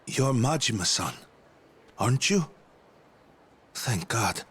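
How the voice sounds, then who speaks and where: A man's voice: mid-range voice, sing-song, slow, fairly bright tone, breathy edge, full character voice. An actor playing a polite, earnest male doctor delivering a grave message in a game dub. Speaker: doctor messenger.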